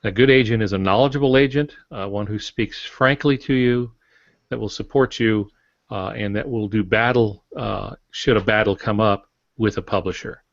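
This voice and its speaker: Male author for podcast discussion: lowish pitch, plainspoken and colloquial, slightly muffled audio. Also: normal speed, gravelly. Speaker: author, podcast guest or panelist. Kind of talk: casual explanatory podcast talk. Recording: dull, roomy podcast audio.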